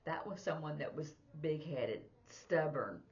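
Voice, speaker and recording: A woman's voice: deep voice, a little slow, darkish tone, muffled recording. A woman giving an informal spoken sign language lesson, rambling conversationally as she explains things through examples. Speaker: sign language teacher and interpreter.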